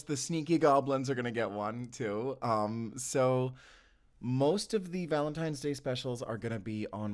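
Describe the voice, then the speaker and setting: A man casually addressing his listeners as an audio creator, easygoing and hesitant in his phrasing. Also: mid-range voice.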